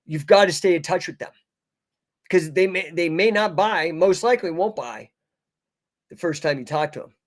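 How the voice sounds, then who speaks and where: Punchy, higher-pitched male sales coach. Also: moderate pace, long pauses. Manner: emphatic, punchy short phrases with slangy buddy address and check-in questions. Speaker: coach and host of an online coaching session. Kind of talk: informal live sales coaching talk to an online audience.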